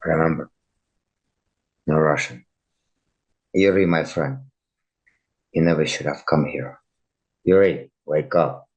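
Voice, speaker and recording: Man voicing extremely deep, husky cold villain. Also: slow, long pauses, heavily acted. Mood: cold menace. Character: cold, menacing terrorist villain. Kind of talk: video game character performance.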